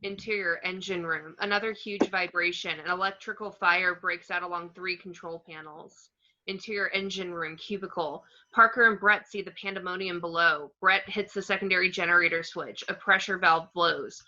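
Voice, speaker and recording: A woman's voice: lowish voice, fairly even intonation, normal speed, bright, forceful tone, lo-fi sound. A woman reading screenplay stage directions aloud as the narrator at an amateur table read.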